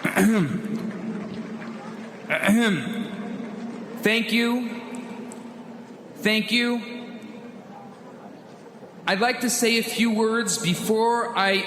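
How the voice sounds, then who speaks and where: Dignified rebel leader, echoing hall. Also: male voice, sits high. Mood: solemn, proud. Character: dignified victorious rebel leader at press conference. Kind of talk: radio drama speech. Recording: echoey hall, noisy background.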